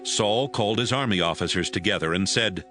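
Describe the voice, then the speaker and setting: A man's voice: on the low side, brisk, sharp tone, faint music behind. A man narrating scripture in a scripted, dramatized audio Bible style.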